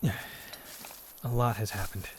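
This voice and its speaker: A man playing an earnest, modest young country hero in a fantasy audio drama, hesitant and soft-spoken. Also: lowish voice, fairly even intonation, drawn-out words, slight background noise. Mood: somber.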